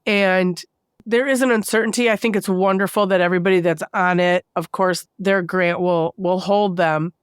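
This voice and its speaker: A woman's voice: fairly low pitch, fairly even intonation, normal speed, clean tone, no room sound, clean studio sound. A woman hosting a podcast interview, sounding congested from a bad cold and asking earnest, concerned questions. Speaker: podcast host.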